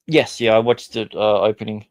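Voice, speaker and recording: A man's voice: on the low side, steady tone, moderate pace, a little mellow, no room sound. A man joining a casual group chat, easygoing and joking. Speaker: chat guest.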